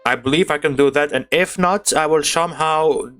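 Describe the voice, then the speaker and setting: A man giving casual, excitable gameplay commentary, chatting to his viewers as a gaming YouTuber. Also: on the high side, moderate pace. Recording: game music behind voice. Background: video game music.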